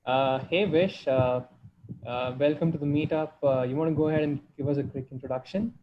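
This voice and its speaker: A man's voice: a little high, steady tone, a little fast. A man hosting an online meetup and welcoming each guest in an encouraging way. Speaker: host. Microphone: video call. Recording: dull sound with faint noise.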